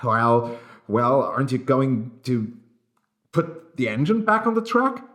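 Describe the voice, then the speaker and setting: A man's voice: mid-range voice, sing-song, slow pace, fairly bright tone, voice pushed up. A man voicing a panicky, demanding train passenger in an audiobook, stammering and urgent. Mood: panicked.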